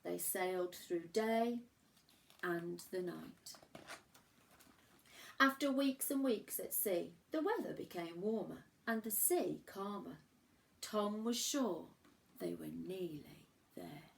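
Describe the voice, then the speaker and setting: A woman reading a children's storybook aloud expressively, with dramatic emphasis and pauses for young listeners. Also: on the low side, animated, vocal fry. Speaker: primary school teacher reading a picture book aloud.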